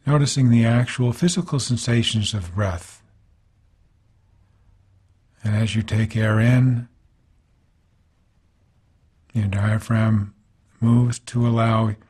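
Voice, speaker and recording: A man guiding a body scan meditation as a teacher, speaking calmly in gentle, unhurried phrases with pauses between them. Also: on the low side, slightly rough.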